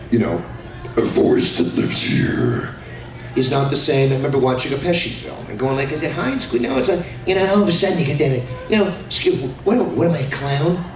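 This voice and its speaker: A middle-aged man, a voice actor on a convention panel, talking in an animated, jokey way and slipping into character voices. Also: mid-range voice, a little fast. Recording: echoey hall, far from mic, noisy.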